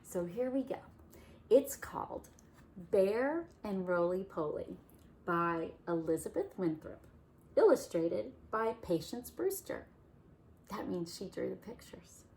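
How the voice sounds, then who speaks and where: A woman reading a children's picture book aloud in a soft-spoken, unhurried way. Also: mid-range voice, sing-song, a little hiss or hum. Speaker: children's storyteller.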